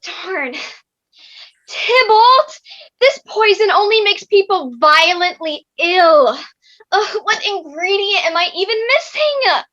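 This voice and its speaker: A woman's voice: very high voice, lively intonation, slow, sharp tone, full character voice. A woman in a comedic play reading, playing a frustrated young girl who complains theatrically, on a roomy, muffled recording. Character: frustrated young Juliet, grumbling amateur poisoner.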